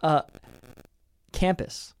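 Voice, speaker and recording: A young man co-hosting a casual sports podcast, enthusiastic and quick to laugh, often repeating his words as he talks. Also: dry recording, studio quality. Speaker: podcast co-host. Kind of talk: casual chat about college football.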